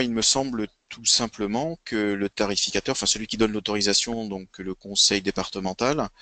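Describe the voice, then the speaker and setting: A man with a fairly low, bright voice speaks as an expert at an online conference. He explains methodically in formal vocabulary, and the sound is close and dry. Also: no room sound. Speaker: guest expert in local social services administration. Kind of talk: explanatory web conference presentation and answers.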